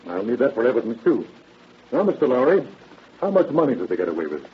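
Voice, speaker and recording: An actor playing a businesslike, courteous detective captain with matter-of-fact, clipped questioning, in old-time radio drama style. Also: male voice, medium pitch, big pitch swings, fast talker, close to an everyday voice. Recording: muffled, noisy old radio drama recording.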